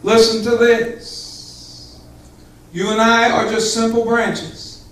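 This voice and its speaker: A man's voice: extremely high pitch, steady tone, takes it slowly, a bit bright. A middle-aged man preaching a Pentecostal sermon as pastor, fervent and emphatic, addressing his congregation directly. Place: church sanctuary with small congregation. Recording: echoey church hall, far from camera.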